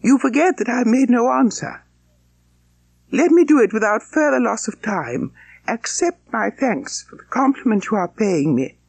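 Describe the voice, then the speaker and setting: A man plays a spirited young lady from a classic novel. The voice is lifted high and lively, brisk and firm while staying formally polite. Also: vocal fry, husky, no echo, heavily acted. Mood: firm, urgent. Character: spirited, polite but firm young gentlewoman refusing a suitor. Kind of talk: audiobook character acting.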